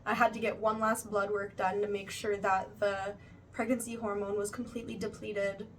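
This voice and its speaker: A woman's voice: medium pitch, little pitch movement, normal speed. A young woman chatting casually in a monthly recap vlog, full of "like" and "you know", with a slightly stuffy nose. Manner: chatty, uses many fillers like "like" and "you know". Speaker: vlogger. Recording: voice a bit far from the mic, faint background noise.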